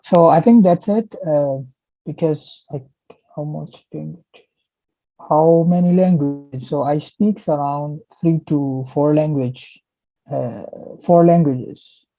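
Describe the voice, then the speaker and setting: Slow, very dark-voiced young man. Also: a little high, vocal fry, no echo, rough recording. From Pakistan. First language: Urdu. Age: young adult. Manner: halting, with frequent restarts. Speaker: guest speaker answering viewer questions. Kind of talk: casual question-and-answer chat.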